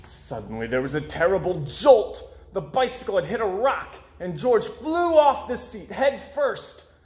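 A young man reading a children's story aloud in an expressive way, with dramatic pauses. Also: high voice. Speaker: storybook reader. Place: small indoor room with bookshelves. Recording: roomy, echoey indoor sound.

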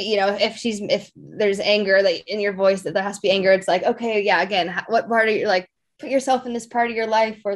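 Woman getting interviewed, medium pitch, super informal, lots of likes and you knows. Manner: informal, loose phrasing peppered with like and you know. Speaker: interview guest, voice actor. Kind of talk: casual interview chat.

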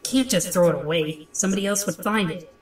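A woman's voice: on the low side, a little fast, slight background noise, lightly acted. A woman playing a troubled, determined young comic book heroine thinking aloud, recorded with echo at a distance. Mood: pondering. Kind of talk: comic book dub acting, inner monologue. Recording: echoey, distant from the mic.

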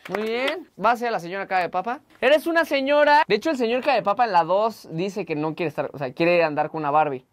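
A man's voice: high-pitched, animated, fast talker, hardly any pauses, slight background noise. A young man with a Mexican accent, chatting casually and jokingly as he hosts and directs a dubbing workshop. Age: young adult. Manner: joking, teasing. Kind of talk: casual vlog chat and coaching. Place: workshop room and small dubbing studio.

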